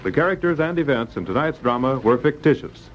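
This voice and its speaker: A man's voice: medium pitch, animated, a little fast. Muffled vintage American male radio announcer. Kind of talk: scripted 1940s radio announcing. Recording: dull vintage radio broadcast recording.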